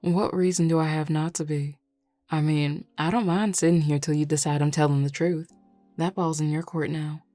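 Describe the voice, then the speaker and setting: A woman playing a flirty, teasing male werewolf with a Southern US accent and a playful, unhurried drawl in an audio roleplay. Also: deep voice, steady tone, warm tone, no room sound, soft background music, natural voice.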